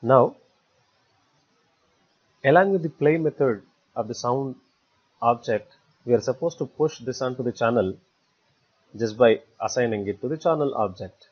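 A man narrating a step-by-step screencast tutorial as an instructor, phrasing haltingly in short, repetitive chunks. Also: medium pitch, animated, a little mellow, slightly rough. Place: voice-over for a screen recording. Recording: dull, lo-fi voice recording.

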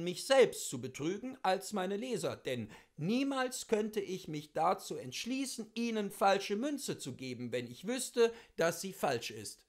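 Man, high voice, sweeping intonation, expressive literary reading, theatrical, measured phrasing. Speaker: reader of classic literature.

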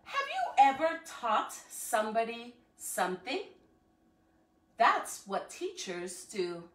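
High-pitched woman reading slowly, echoey room. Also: huge pitch swings. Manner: encouraging, addressing children with questions. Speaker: school educator reading to students. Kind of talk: read-aloud for children on a livestream. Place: living room at home, indoors. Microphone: phone or camera a few feet away. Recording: echoey room, distant from the microphone.

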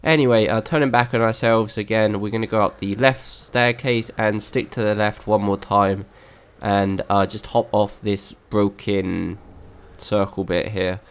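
A man's voice: lowish voice, fairly even intonation, unhurried, smooth. A young man with a slightly worn voice, casually narrating a game walkthrough with many fillers and restarts. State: slightly lost voice.

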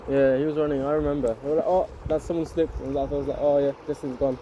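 A man's voice: on the high side, flat delivery, lo-fi sound. A young man chatting casually with friends while resting on a hike, filmed on a handheld phone outside. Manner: chatty, quick questions, offhand. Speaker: vlogger on hiking expedition. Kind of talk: casual chat with friends outdoors. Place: outdoors on a grassy hillside. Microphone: handheld phone camera. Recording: outdoor wind and ambient noise.

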